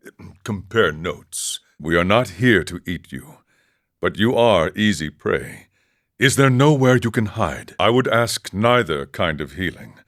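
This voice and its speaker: An actor playing a serene, eccentric ascetic monk in a video game, speaking in a measured, philosophical way with formal phrasing. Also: male voice, low-pitched, a bit bright, full character voice. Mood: calm, sincere. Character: serene, eccentric ascetic drug-taking monk who relishes suffering. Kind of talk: scripted game character dialogue.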